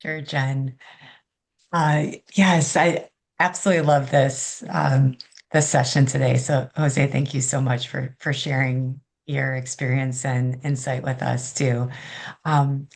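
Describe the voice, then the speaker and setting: A woman in the audience, polite and warm, asking a question on a video call. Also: extremely low pitch, steady tone, slow pace, creaky.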